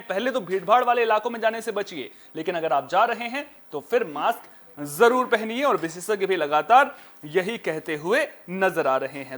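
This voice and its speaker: A man's voice: high voice, a bit bright. A man anchoring a news bulletin, speaking emphatically and often restating his points. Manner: emphatic, repeats and rephrases points.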